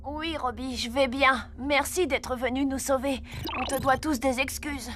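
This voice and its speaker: A woman's voice: high voice, a little slow, a little hiss or hum. A young cartoon boy. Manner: lively, scolding at times. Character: young boy, friendly but bossy. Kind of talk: French cartoon dub. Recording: music loud behind voice.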